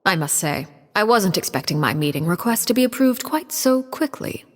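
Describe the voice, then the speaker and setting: An actress playing a poised, cold female diplomat and matriarch in a video game, speaking calmly and precisely. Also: mid-range voice, normal speed, long reverb tail, close to an everyday voice. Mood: polite. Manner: calm, precise, quietly commanding.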